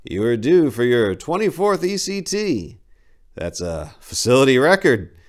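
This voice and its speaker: A man's voice: medium pitch, very animated, unhurried, voice pushed up. A man voicing a smug, coldly clinical male psychiatrist in a short story, formal and condescending, drawing out his words.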